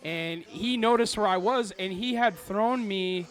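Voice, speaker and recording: A young adult man hosting a podcast, with a loud voice, telling fan stories animatedly and swearing freely in casual chat. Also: high-pitched, a little slow, soft background music. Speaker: podcast host.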